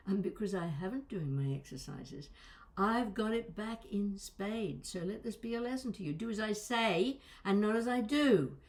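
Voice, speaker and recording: A woman's voice: medium pitch, animated, moderate pace, darkish tone. A woman coaching vocal exercises in a conversational, instructional way, stressing and stretching key words. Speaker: voice and accent coach.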